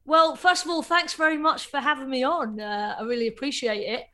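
Female radio guest, thirties, from England, chatty and a bit higher pitched. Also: steady tone, brisk, bright, forceful tone. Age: 30s. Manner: chatty, with many restarts and fillers. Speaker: guest, club night founder. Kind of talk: casual radio interview.

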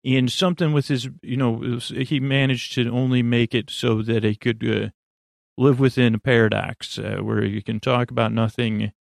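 Male sleep-podcast host, creaky and soothing, mid-pitched, wandering halting monologue, dry close recording. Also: flat delivery, dry recording. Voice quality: creaky, lulling. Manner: meandering, halting, stammering asides. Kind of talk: rambling bedtime monologue.

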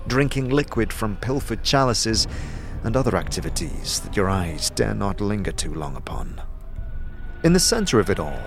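A man narrating an atmospheric scripted fantasy story in a measured, dramatic manner. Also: medium pitch.

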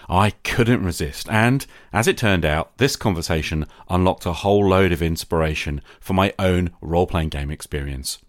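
Man, deep voice, podcast host, interview and introduction delivery, dry close sound. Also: no room sound.

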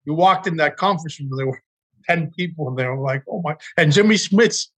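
A middle-aged man from Miami, a guest actor telling animated stories about auditions in a casual interview. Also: a little high, a little fast, slightly rough. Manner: animated storyteller, acts out quotes. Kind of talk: casual podcast interview storytelling.

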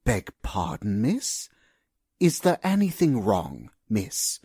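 Woman as male butler, very low husky voice, deferential, formal, sly, radio drama. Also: huge pitch swings, dark tone, no echo, clean studio sound, exaggerated voice. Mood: obsequious, measured. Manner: formal, servile, unhurried. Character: smooth, sneaky, deferential male household butler.

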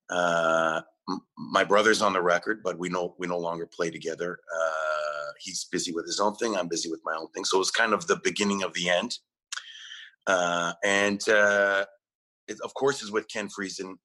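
A man with a very low voice and a bright, forceful edge talks about his music. He answers interview questions in a relaxed, conversational way, stopping often with fillers and repeating words as he goes. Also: poor audio. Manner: hesitant, many fillers and restarts. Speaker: musician guest.

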